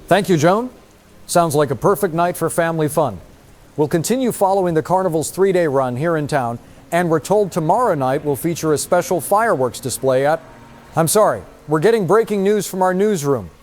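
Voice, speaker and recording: A man acting as a composed local TV anchor in a radio drama. His voice sits a little high for a man. He delivers the news in measured, formal phrases. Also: expressive, darkish tone, close to an everyday voice. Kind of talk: scripted broadcast news anchoring.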